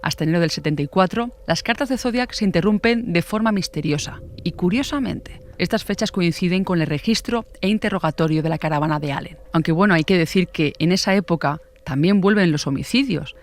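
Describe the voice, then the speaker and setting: A woman's voice: on the low side, fairly quick. A woman with a Spain accent presenting a true crime podcast in scripted narration with a conversational storytelling manner.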